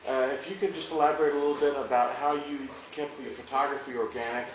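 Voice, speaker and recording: A man of medium pitch speaks haltingly and admiringly from an audience. His casual question comes from far off the mic in a very reverberant theater with faint noise. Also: fairly even intonation. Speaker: audience member asking question.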